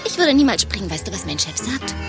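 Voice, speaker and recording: Animated, brash secretary. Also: female voice, mid-range voice, fast talker, over music. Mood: animated, indignant. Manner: gossipy, rambling, exclamatory. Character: brash, chatty, bawdy female office secretary. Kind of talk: German radio play acting.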